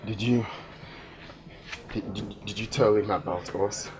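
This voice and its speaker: An actor playing a devout, excitable young son, speaking expressively with a Nigerian Igbo accent and repeating words for emphasis. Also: male voice, lowish voice, a little slow, background noise, lightly acted. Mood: tender, uneasy. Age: young adult. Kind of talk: emotional film drama acting.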